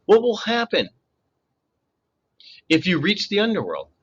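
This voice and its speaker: A man's voice: high voice, huge pitch swings, unhurried, slightly rough, highs cut off, voice pushed up, heavily acted. A man voicing a sweet-talking old female monster who croons and coaxes in a purring voice. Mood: coaxing purr. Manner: crooning, coaxing purr.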